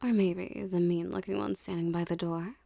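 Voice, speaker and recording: A woman playing a dominant, seductive mafia boss in an ASMR roleplay, with a soft, teasing, condescending drawl and menacing self-assurance. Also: fairly low pitch, flat delivery, moderate pace, vocal fry, no echo. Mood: coaxing, self-assured.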